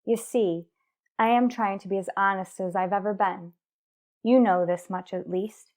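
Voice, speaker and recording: An actress playing a resolute, aggrieved wife and mother in a radio soap, earnest, deliberate and restrained. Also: mid-range voice, steady tone, a little mellow, close to an everyday voice. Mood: firm, defiant. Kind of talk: revived daytime radio soap acting.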